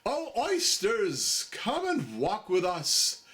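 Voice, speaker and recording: A man voicing a pompous, sentimental and slyly greedy storybook walrus with grandiose, drawn-out oratory. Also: high-pitched, huge pitch swings, fairly bright tone, pitched above the performer's own voice, full character voice. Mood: coaxing.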